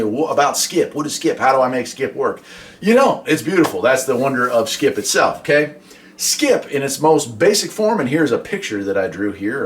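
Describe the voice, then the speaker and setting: A man's voice: medium pitch, fairly bright tone. An American man hosting a casual explainer about his radio hobby, talking in a folksy, conversational way with rhetorical questions.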